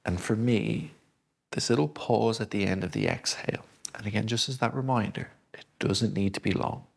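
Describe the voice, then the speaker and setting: Man, low gravelly voice, slow soothing breathing instructor. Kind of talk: guided breathing lesson.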